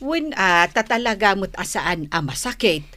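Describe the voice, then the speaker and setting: A woman's voice: mid-range voice, sing-song, moderate pace, bright, forceful tone. A woman playing a disapproving, gossiping village woman in a Philippine radio comedy.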